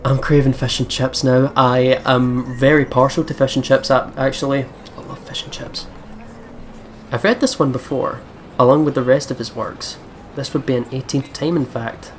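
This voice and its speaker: Male let's play host: mid-range voice, reads game story aloud and comments, clear background noise. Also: medium pitch, fairly even intonation, normal speed.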